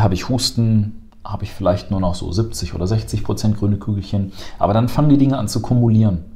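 Mellow-voiced man explaining in a bright room. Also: fairly low pitch. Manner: fluent, lecturing, frequent "ähm" fillers. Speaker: emergency medicine educator. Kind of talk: explanatory conversation. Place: bright office room, seated on sofa.

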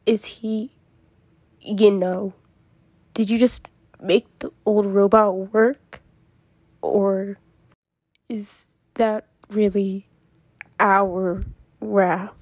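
Anxious, tired turtle leader female performer for comic dub; subdued, halting, husky, dragging pace. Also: medium pitch, level tone, darkish tone, dry recording. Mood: disbelieving. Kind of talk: fan comic dub acting.

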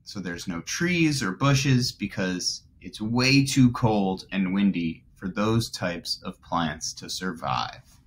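A man in his twenties, a teacher reading aloud to young children and explaining as he goes, deliberately stressing key words. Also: low-pitched, animated, a little hiss or hum. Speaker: first grade teacher.

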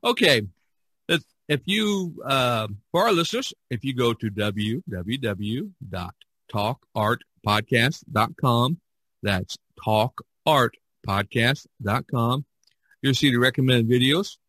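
An American man hosting a podcast casually, often pausing in the middle of his phrases. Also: mid-range voice, animated, fairly bright tone, husky, no room sound. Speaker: podcast host.

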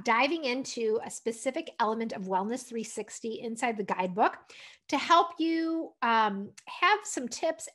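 A woman's voice: a little high, fairly bright tone. An American woman, around her thirties or forties, giving an encouraging, conversational instructional talk to camera as a wellness coach. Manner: encouraging, conversational, often ending points with "right?". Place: furnished room at home, indoors. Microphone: desktop condenser microphone.

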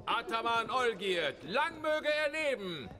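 A man's voice: very high-pitched, sing-song, slow pace, bright, forceful tone, heavily acted. An actor playing a rowdy, boisterous bandit henchman declaiming in a video game. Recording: long hall reverb, noisy crowd behind.

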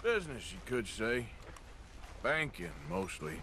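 Folksy, lively-pitched American outlaw. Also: male voice, unhurried, slight background noise. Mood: wry, easygoing. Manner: plain-spoken folksy drawl, understated. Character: rugged, patient male outlaw in the Wild West. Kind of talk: naturalistic video game acting.

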